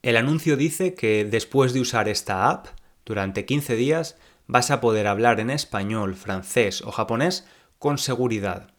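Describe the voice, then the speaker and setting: Man, young adult, Spanish accent, podcast teacher, clear and unhurried speech. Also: medium pitch, soft background music. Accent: Spanish, from Spain. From Spain. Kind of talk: calm podcast lesson for learners.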